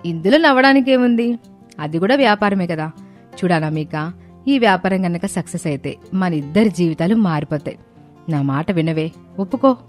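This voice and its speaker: A woman's voice: fairly low pitch, normal speed, faint music behind, natural voice. Woman acting an eager, persuasive young friend. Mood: coaxing.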